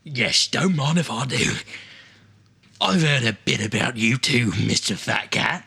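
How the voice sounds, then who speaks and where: A man as a gravelly hardboiled private detective. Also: medium pitch, lively intonation, a little slow, sharp tone, full character voice. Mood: wary, defiant. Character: wry hardboiled private detective in noir spoof. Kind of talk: noir radio-play acting.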